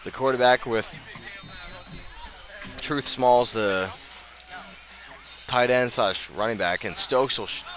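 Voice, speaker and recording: A man's voice: medium pitch, slow pace, long pauses, fairly bright tone, soft background music. An American man doing live radio play-by-play of a football game in short, clipped phrases. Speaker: play-by-play commentator.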